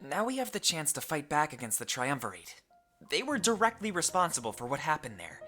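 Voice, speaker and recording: An earnest companion from a fantasy visual novel. The voice sits a little low but moves expressively. It is sincere and reasoned, protective of friends, with music playing underneath. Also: female voice, big pitch swings, a little fast, a bit bright. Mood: serious, searching. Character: earnest, protective fantasy adventure companion, level-headed and loyal.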